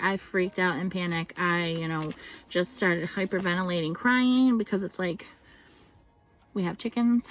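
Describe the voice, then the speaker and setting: Chatty woman homesteader vlogging. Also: medium pitch. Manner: chatty and rambling, reads out seed names. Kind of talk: casual chat to camera.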